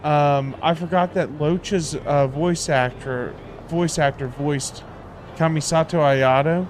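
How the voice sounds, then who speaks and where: A man livestreaming a game, chatting casually in a halting, informal, slangy way. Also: a little high, a little hiss or hum. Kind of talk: casual gaming livestream chat.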